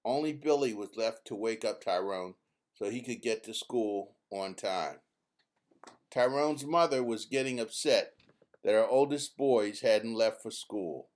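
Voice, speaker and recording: A man reading his own children's book aloud as an expressive, emphatic storyteller. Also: on the low side, a little slow.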